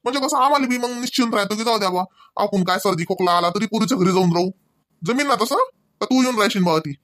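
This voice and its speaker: A man's voice: sits high, fast talker, fairly bright tone, no echo, clean studio sound. A man acting a stern, pleading old village father in a comedy cartoon, lecturing insistently in a rural Varhadi Marathi accent with questioning tags. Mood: firm, questioning. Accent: Varhadi Marathi, rural Vidarbha.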